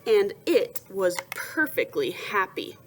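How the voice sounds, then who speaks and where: A woman's voice: medium pitch, a little hiss or hum. A woman reading a picture book aloud to young children like a teacher, expressively, with questions and asides. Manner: expressive storytelling, pausing to ask questions and answer softly.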